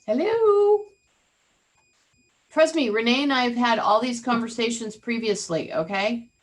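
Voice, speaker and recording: A woman's voice: on the high side, animated, measured pace. Chatty woman teaching over a video call. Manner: chatty, teasing, asks the group questions. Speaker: real estate trainer. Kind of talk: interactive online training session.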